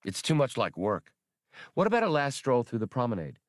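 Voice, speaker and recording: A man voicing a restless, gruff starship pilot and gambler who complains, in an audiobook. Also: mid-range voice, wildly swooping pitch, fairly quick, dry recording, studio quality. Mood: reluctant.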